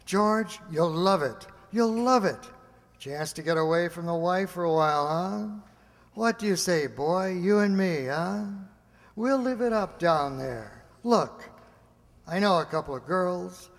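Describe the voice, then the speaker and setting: An actor playing a persuasive laboratory security chief, deliberate and emphatic, in a radio play. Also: male voice, high voice, lively intonation. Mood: cajoling. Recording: echoey hall with long reverb.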